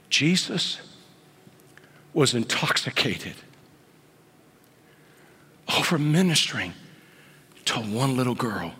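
Man, late 50s, pastor, sermon delivery that slides between hushed whispers and high exclamations, breathy timbre, reverberant sanctuary. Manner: swings from hushed whispers to sudden high outbursts. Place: church sanctuary. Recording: long church reverb.

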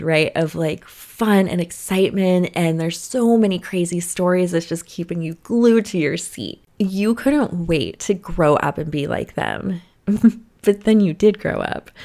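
A woman's voice: fairly low pitch, darkish tone. A young woman talking candidly to camera, frank and profane, laughing often between lines. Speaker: sex and relationships vlogger. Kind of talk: candid casual monologue to camera.

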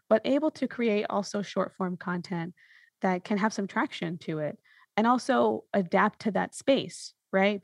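A woman's voice: mid-range voice, creaky, no echo. A woman hosting a podcast, talking casually and reflectively, thinking through her points with lists and examples. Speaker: podcast host.

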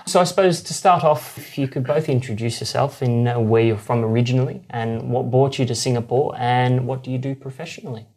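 A woman's voice: a bass voice, a little fast, hardly any pauses, a little mellow. A woman hosting an interview, polite and encouraging with short affirmations. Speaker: host.

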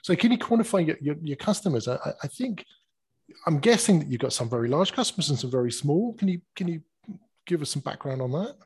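Husky, gravelly podcast host. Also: male voice, fairly high pitch, big pitch swings, fast talker, a little mellow. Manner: stumbling restarts, eager questioning. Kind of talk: casual interview questions.

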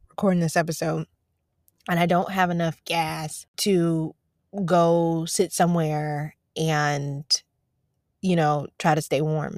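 A woman hosting a devotional podcast, preaching casually from her parked car, talking to listeners as y'all, her voice going a little raspy. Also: low-pitched, dry recording, clean studio sound. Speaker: podcast host.

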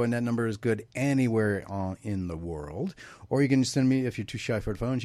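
A man's voice: medium pitch, darkish tone, no echo. A man hosting a live radio talk show, chatting casually, laughing often and reading out listener messages.

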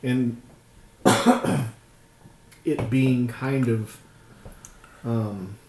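Mellow, thoughtful American man in echoey room. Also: medium pitch, steady tone, extremely slow. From rural Utah, United States. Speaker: podcast participant. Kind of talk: casual chat. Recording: roomy echo, clear background noise.

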